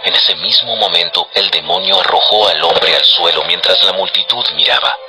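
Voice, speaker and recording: Low deep guy narrating an audio bible, scripted storytelling, music clearly playing behind. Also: fairly even intonation, moderate pace, sharp tone, poor audio.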